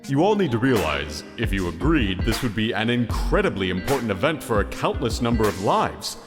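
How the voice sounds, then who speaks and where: A man voicing an earnest, hesitant, self-doubting giant hand who mentors heroes in an animated comedy. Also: fairly low pitch, brisk. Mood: earnest, encouraging. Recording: long reverb, music behind.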